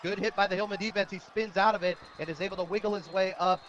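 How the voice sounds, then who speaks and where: A man's voice: high voice, steady tone, fast talker, fairly bright tone, a little hiss or hum. An American man giving live radio play-by-play commentary of a football game. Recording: dull lo-fi radio broadcast audio.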